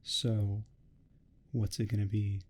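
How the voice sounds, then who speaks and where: A man's voice: on the low side, little pitch movement, slow, darkish tone. A man playing an obsessive, menacing neighbor who longs to be a vampire, in a theatrical ASMR roleplay monologue. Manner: theatrical, grandiose monologuing, smugly threatening.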